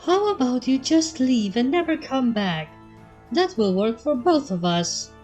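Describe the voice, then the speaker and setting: A woman's voice: sits high, expressive, a little slow, a little mellow, soft background music, voice pushed up. Woman putting on a prim, fretful unicorn lady. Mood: pleading. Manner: prim, theatrical. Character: refined, dramatic, fretful female unicorn.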